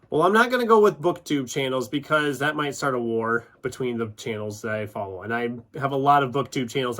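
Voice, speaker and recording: A man's voice: medium pitch, a bit bright. A man from Iowa, chatting informally as a vlog host with self-deprecating asides. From United States, Iowa. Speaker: YouTube book channel host. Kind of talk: casual chatty vlog, answering questions.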